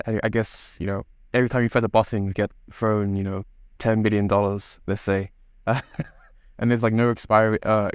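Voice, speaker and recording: A man hosts a podcast interview in a conversational style. His voice is fairly low with modest movement in pitch, and he feels his way toward each point in roundabout phrases. The recording is very dry. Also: clear, resonant tone, no echo.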